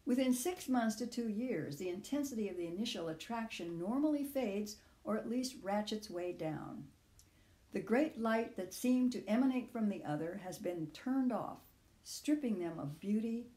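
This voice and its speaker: An older woman reading her own personal essay aloud in measured, deliberate phrases. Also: a little high, warm tone, reverberant.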